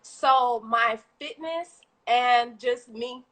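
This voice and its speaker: A woman with a Haitian accent, a guest entrepreneur talking casually and at length on a live video stream, animated and quick to laugh. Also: on the high side. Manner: talkative, animated, laughs often. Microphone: video call.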